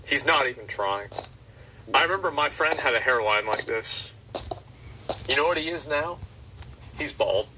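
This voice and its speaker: A man's voice: medium pitch, expressive, moderate pace, pauses often, distant mic, background noise. A man casually reacting to a video with a co-host, blunt and mocking. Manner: blunt, mocking, talks over others.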